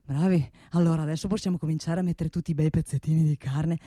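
A woman's voice: low voice, steady tone, fast, warm tone, dry recording, studio quality. A woman playing a blustering, pushy barbecue boss in a radio theatre monologue, hurrying everyone along with repeated urging. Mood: bossy, jovial. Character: loud, pushy host bossing lads around a barbecue spit.

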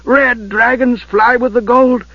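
Young squire, scared, breathless, urgent, raised pitch; narrator voices him. Lo-fi. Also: male voice, fairly even intonation, measured pace, breathy edge, no room sound, heavily acted. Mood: hushed. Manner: gasping, awestruck, urgent.

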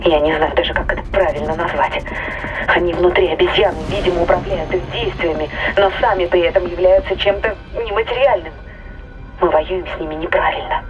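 An actress playing an alarmed but dutiful spaceship crew member in an audio drama, speaking gravely and emphatically through a radio filter. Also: female voice, fairly low pitch, brisk, lo-fi sound, music in the background. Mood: grave, uneasy. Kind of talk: dramatic audio play acting.

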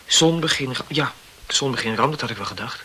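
An actor playing a keen, anxious young student in a radio play, with quick, eager delivery. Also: male voice, medium pitch, lively intonation, bright, forceful tone, breathy edge, exaggerated voice. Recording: hissy old radio drama recording.

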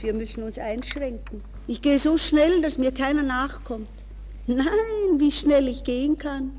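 A woman's voice: on the high side, darkish tone, in a large hall, a little hiss or hum. An actress playing a desperate, dreamy young woman from a good Viennese family in a theatrical interior monologue for a German radio play. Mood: feverish, morbid.

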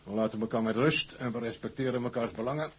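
A man playing a wary old tugboat owner in a period drama, husky and emphatic. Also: mid-range voice, fast, lightly acted. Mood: wary, firm. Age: older. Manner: emphatic, repetitive refusals.

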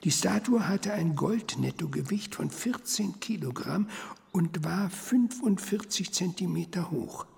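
A sly old male doctor savours his tale in a radio crime drama. His voice is gravelly and animated, teasing and unhurried, ringing in a long hall reverb. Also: high-pitched, heavily acted. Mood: patient, savouring. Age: older.

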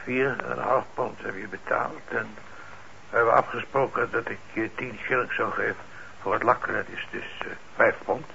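A gruff but kindly old shopkeeper in a radio play. His voice is lowish, very gravelly and forceful, and he takes his time with halting pauses. The recording is muffled and a little noisy. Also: steady tone, full character voice. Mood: businesslike. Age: older. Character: kindly older shopkeeper, a gruff, forgiving father. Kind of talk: radio drama acting.

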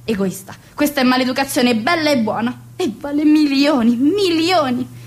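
A woman's voice: high-pitched, normal speed, lightly acted. An actress playing a bossy, exasperated society mother and hostess in a theatrical radio comedy, with a haughty, melodramatic manner. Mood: outraged.

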